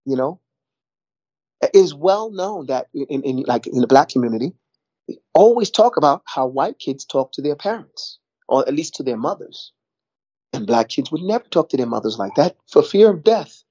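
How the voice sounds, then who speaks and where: Husky-voiced middle-aged New man. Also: fairly high pitch, animated, moderate pace, warm tone, no echo, dull audio. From New York. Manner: rambling, repeats words, frequent "you know". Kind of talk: casual conversation.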